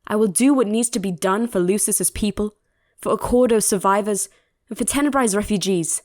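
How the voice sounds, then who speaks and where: A woman's voice: mid-range voice, normal speed, dry recording, clean studio sound, natural voice. A woman playing a proud, determined young princess in an audio drama, firm and guarded. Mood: defiant. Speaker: voice actor.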